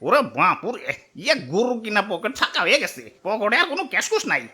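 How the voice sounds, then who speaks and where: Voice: medium pitch, big pitch swings, fast talker, pushed and bright, husky, full character voice. An actor voicing a vain, self-important village council member in a comedy cartoon with a Bangladeshi accent. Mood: flustered. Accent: Bangladeshi colloquial Bengali. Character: pompous village council member, vain about his status, male. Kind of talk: Bengali comedy cartoon voice acting.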